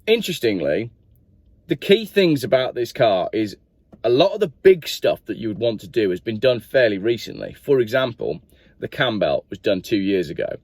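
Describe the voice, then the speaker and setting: A man's voice: lowish voice, very animated, normal speed, darkish tone, dry recording. A man talking through a car's history and receipts in a casual, chatty review vlog, often asking the viewer questions. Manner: chatty, rambling, asks viewers directly. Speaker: car reviewer.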